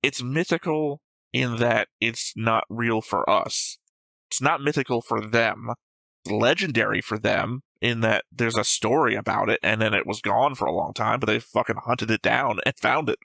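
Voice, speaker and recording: Guy with bright gravelly voice, close dry sound, swears a lot, argues bluntly in casual chat. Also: mid-range voice, normal speed, no room sound, poor audio. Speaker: host of anime reaction show.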